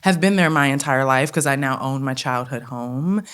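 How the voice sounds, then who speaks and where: A woman's voice: extremely low pitch, moderate pace, clean tone. A woman from Detroit with a Detroit accent, speaking as a guest in a casual interview, earnest and flowing, often checking in with "right?". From Detroit, Michigan. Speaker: guest, theatre company head.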